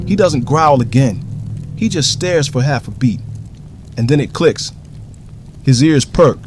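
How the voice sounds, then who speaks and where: A man's voice: medium pitch, lively intonation, measured pace, darkish tone, slight background noise, natural voice. An actor playing a shaken, angry man who narrates his own danger in tense, clipped phrases for an audio drama. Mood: startled relief.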